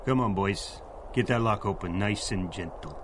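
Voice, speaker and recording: A man's voice: lowish voice, moderate pace, very noisy, close to an everyday voice. A man playing a short-tempered gangster crew leader, barking slang in a 1930s-style radio drama. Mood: bossy, irritable. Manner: barking, streetwise tough-guy slang.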